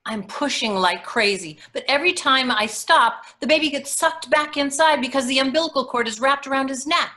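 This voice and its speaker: A woman playing a frazzled, sardonic mother in an expressive dramatic monologue reading over a video call. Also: sits high, moderate pace, few pauses. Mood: urgent strain. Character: frazzled, sardonic new mother, sometimes mimicking her own mother.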